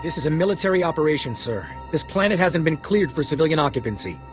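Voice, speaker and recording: An actor playing a curt, bureaucratic army officer in a video game, official and dismissive. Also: male voice, a little high, lively intonation, quick pace, soft background music, close to an everyday voice.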